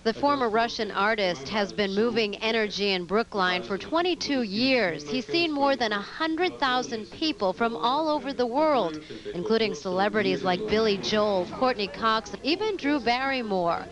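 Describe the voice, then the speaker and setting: A woman reporter narrating a TV news segment in a measured, clear delivery. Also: fairly high pitch, animated, a crowd in the background.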